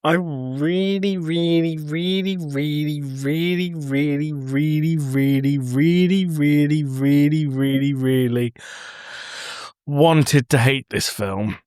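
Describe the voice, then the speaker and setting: A man's voice: a little high, takes it slowly, a little mellow, clean tone, no echo, clean studio sound. A man co-hosting a casual film review podcast in a jokey, blunt, emphatic way. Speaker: podcast co-host.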